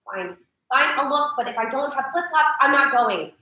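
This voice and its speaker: A woman's voice: high voice, animated, moderate pace, muffled recording. A young woman playing a reluctant, grudging homebody friend in a staged play reading. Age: young adult. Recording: very echoey room, distant mic.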